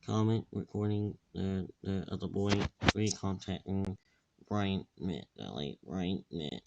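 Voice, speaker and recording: A man giving rambling casual commentary in a halting, repetitive way with muddled wording. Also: creaky, very noisy. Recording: dull, echoey low-fidelity recording.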